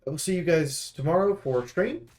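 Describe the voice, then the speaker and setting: A man with a fairly high voice talks through a game preview. His delivery is casual, like a streamer reviewing for viewers, and he is blunt and emphatic with his opinions. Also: expressive, normal speed, a crowd in the background.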